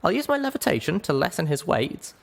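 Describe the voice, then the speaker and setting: A man's voice: on the high side, big pitch swings, a little fast, creaky, pitched above the performer's own voice, heavily acted. A man voicing a young female pony, an earnest, stubborn and anxious heroine, for a storybook reading. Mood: earnest planning. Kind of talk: audiobook character voice.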